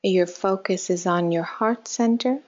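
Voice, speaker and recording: A woman leading a guided meditation in a calm, measured way, with short instructions and soft phrase endings. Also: lowish voice, lo-fi sound. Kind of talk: guided meditation, slow spoken instructions with long pauses.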